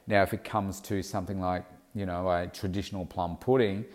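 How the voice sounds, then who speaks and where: Man, fitness coach, slightly low voice, snuffly nose, casual instructive talk, lots of ums. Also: steady tone, brisk, darkish tone. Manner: conversational, instructive, talks in steps with frequent ums. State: snuffly from hay fever. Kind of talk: casual advice monologue.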